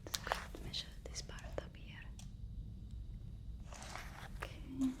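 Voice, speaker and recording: A woman whispering an ASMR roleplay as a deferential, flattering personal assistant, often repeating her words softly and hesitantly. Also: takes it slowly. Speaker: ASMR performer.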